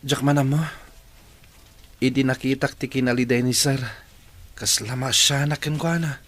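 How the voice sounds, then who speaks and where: A man's voice: medium pitch, fairly even intonation, moderate pace, a bit bright, lightly acted. Man acting an earnest young Ilocano son. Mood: puzzled. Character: respectful, earnest young farm son working in the city. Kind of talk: Ilocano radio drama acting.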